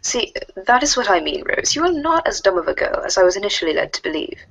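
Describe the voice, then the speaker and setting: A woman playing a formal, stiffly scheming female alien troll in a comic readers theater, speaking in wordy, deliberate sentences. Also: mid-range voice, big pitch swings, gravelly, a bit bright, no echo, exaggerated voice, rough recording. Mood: matter-of-fact, scheming. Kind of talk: readers theater of web comic chat logs.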